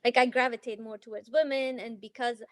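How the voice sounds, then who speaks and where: A woman who grew up in Afghanistan, a healer guest in an interview, talking earnestly with many pauses, fillers and restarts. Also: fairly high pitch, steady tone, no echo. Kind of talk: casual interview conversation.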